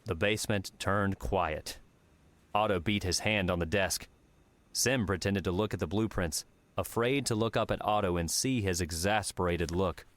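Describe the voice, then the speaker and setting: A man's voice: low-pitched, moderate pace, a bit bright, dry recording. A man reading scripted story narration as an audiobook narrator.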